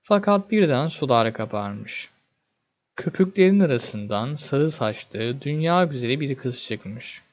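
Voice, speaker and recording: A man narrating a scripted fairy tale as a storyteller, in an expressive, dramatic manner. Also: medium pitch, a little slow, dull audio.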